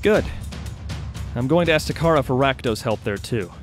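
A man acts as a weary but brisk wizard leader in a fantasy audio drama. He lays out plans in a measured, businesslike way at a middling pitch, with music underneath. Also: lively intonation, natural voice. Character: brisk, weary male wizard leading a war council. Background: background music.

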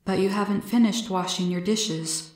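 A woman's voice: medium pitch, fairly even intonation, normal speed, darkish tone, echoey room, lightly acted. Woman voicing a curious, formal male alien cloud. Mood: blunt, curious. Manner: formal, literal, inquisitive phrasing. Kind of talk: storybook character voice.

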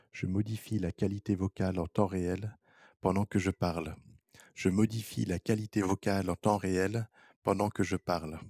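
A young man repeating a scripted demonstration sentence in a measured monotone, his voice processed through a real-time vocoder. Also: deep voice, slightly rough, dry recording, clean studio sound. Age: young adult. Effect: real-time vocoder voice modification. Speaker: demonstrator of voice software. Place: small office or lab room, indoors. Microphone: headset microphone.

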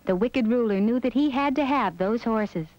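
A woman's voice: fairly high pitch, moderate pace, no echo. A woman storyteller reading scripted narration for a children's fairy tale. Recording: dull lo-fi soundtrack with music behind. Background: background music.

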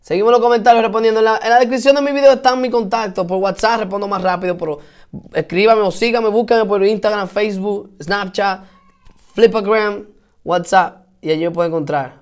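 A man's voice: high-pitched, brisk, clear, resonant tone. A man with a Dominican accent hosting a casual home live stream, chatty and encouraging, greeting viewers and answering their comments, repeating points for emphasis. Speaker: host of a live stream for musicians.

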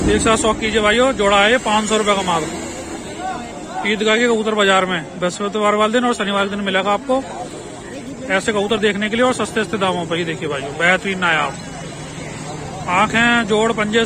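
A man with a Western Uttar Pradesh Hindi accent vlogging from an outdoor pigeon market, with brisk promotional patter that keeps urging viewers on. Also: high voice. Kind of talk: walking market vlog, talking to sellers and viewers. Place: busy outdoor street market. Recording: noisy outdoor market crowd. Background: crowd chatter and traffic.

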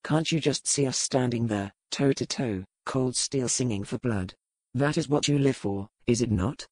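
An actor playing a roguish, dangerous aristocratic pirate lord in a historical romance audiobook, speaking in a formal, measured, sardonic way. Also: male voice, mid-range voice, darkish tone, dry recording, studio quality, lightly acted. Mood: taunting.

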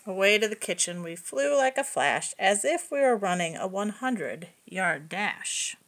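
A woman reading a picture book aloud to young children, expressive and explaining things simply. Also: mid-range voice, a little slow. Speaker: preschool story reader.

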